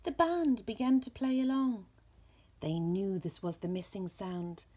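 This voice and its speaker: A woman with a husky, breathy voice reading a rhyming children's story aloud in slow, deliberate phrases. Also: medium pitch, sing-song, dark tone. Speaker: storybook reader.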